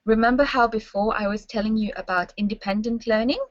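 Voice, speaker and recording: A woman's voice: on the high side, steady tone, a little fast. A young woman guides students through a webinar, friendly and instructive, often checking they follow along. The sound is dry with no room echo, and slightly muffled and dull. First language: French. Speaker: university staff presenter. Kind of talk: webinar presentation.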